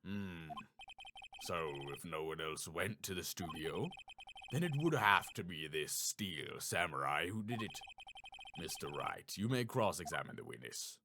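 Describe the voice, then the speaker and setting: Man doing a deep, ponderous old judge. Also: sing-song, noisy background, full character voice. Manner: slow, hesitant, pondering. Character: slow, ponderous elderly male courtroom judge.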